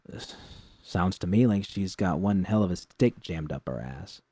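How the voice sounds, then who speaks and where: A man's voice: low-pitched, lively intonation, measured pace, mellow, slightly rough, no room sound, clean studio sound, heavily acted. A man voicing a wry, tough, teasing woman bartender with a slangy, frank manner in a story. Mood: blunt.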